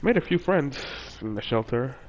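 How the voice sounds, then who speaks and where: A man's voice: mid-range voice, lively intonation, moderate pace, rough recording. A young man casually telling a personal story in a rambling way, with many fillers and restarts. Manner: rambling, with frequent fillers and self-corrections. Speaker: vlogger.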